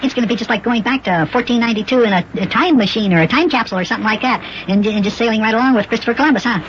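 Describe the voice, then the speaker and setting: A man's voice: sits high, very fast, a bit bright, pitched above the performer's own voice. A man voicing an eager, wide-eyed little boy elf in a children's radio play, chirpy and curious, with a sped-up voice. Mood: curious, amazed. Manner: chirpy, curious, wonderstruck chatter. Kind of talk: old-time children's radio acting. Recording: muffled, noisy old radio recording.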